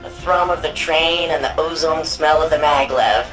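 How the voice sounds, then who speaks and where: A woman's voice: low voice, normal speed, a bit bright, soft background music, poor audio. An actress playing a wry, anxious woman archivist and resistance hacker in a sci-fi video game, speaking in a casual, conversational way. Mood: anxious, wistful. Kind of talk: video game mission dialogue.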